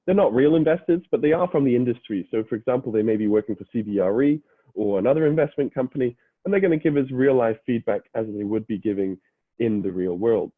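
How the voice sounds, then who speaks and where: A man's voice: medium pitch, quick pace, no echo. A young adult man, half British, giving an explanatory online presentation to prospective students, with frequent filler uhs and restarts. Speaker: university admissions representative. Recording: dry, phone-like lo-fi audio.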